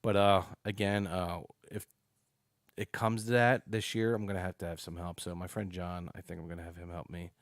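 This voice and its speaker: A man's voice: low-pitched, little pitch movement, normal speed, no room sound, studio quality. A man in his late forties, a podcast guest chatting casually and animatedly, full of "you know" and "dude". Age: 40s. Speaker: podcast guest, snake breeder.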